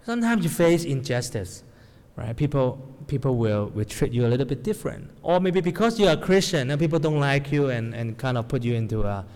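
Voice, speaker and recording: A man's voice: mid-range voice, expressive, fairly quick, a little mellow. Male preacher in a hall. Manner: non-native English with grammar slips, asks the audience "right?". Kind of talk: sermon to a congregation. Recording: long hall reverb.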